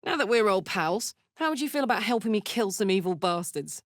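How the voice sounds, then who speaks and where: Brash, big-hearted British warrior woman played by an actress for a fantasy game. Blunt, earthy delivery, mid-pitched voice, very dry recording. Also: animated, fairly quick, no echo, lightly acted. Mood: eager, rallying. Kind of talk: fantasy video game voice acting.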